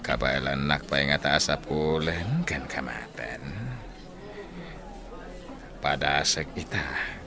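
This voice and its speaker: A man acting in an Ilocano radio drama as a cunning, taunting general with a menacing, drawn-out delivery. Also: extremely low pitch, creaky, crowd noise, heavily acted. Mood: taunting menace.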